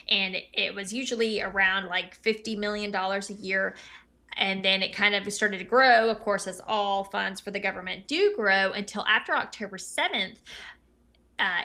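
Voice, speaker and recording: Bright high-voiced woman commentator. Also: moderate pace. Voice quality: high-pitched voice. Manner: chatty, self-correcting. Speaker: co-host. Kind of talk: casual political commentary.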